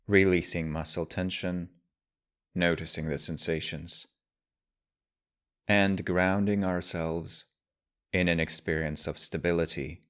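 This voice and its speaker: A man guiding a meditation as a teacher, speaking in measured, deliberate phrases with pauses. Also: low voice, fairly even intonation, fairly bright tone, clear, resonant tone. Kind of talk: guided meditation, slow spoken instruction.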